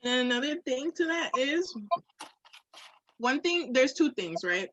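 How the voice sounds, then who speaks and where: A woman's voice: high voice, big pitch swings, unhurried, fairly bright tone, no echo. A woman in a candid, casual conversation, speaking in an emphatic, reflective way with frequent swearing. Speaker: podcast guest or co-host.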